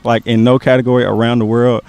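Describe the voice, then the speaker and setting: A man's voice: mid-range voice, flat delivery, quick pace, a little mellow, smooth, no echo, faint music behind. Joking laid-back young man. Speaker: stage actor. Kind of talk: casual interview chat.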